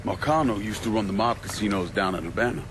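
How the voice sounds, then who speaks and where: An actor playing a grim, relentless vigilante who speaks tersely and bluntly in a video game cutscene. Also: male voice, fairly low pitch, quick pace, background noise, lightly acted. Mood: terse, determined.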